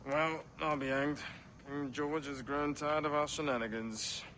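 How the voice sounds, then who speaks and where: A man's voice: a little high, fairly even intonation, a little slow, sharp tone, a little hiss or hum, poor audio. A man playing a bawdy, roguish pirate in a video game, sly and joking. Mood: wry, scornful. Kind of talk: video game cutscene acting.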